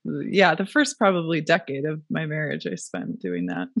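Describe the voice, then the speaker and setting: A woman's voice: low-pitched, huge pitch swings, measured pace, gravelly, fairly bright tone, dry recording, studio quality. A woman hosting a podcast, chatting casually, friendly and affirming, thinking aloud as she frames her questions. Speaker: podcast host. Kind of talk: casual interview chat.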